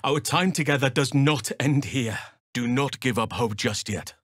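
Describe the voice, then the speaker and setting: An actor playing a big, earnest druid healer in a video game, solemn and gentle, with measured, formal, old-fashioned phrasing. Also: male voice, medium pitch, dry recording, studio quality, close to an everyday voice. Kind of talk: video game character voice acting.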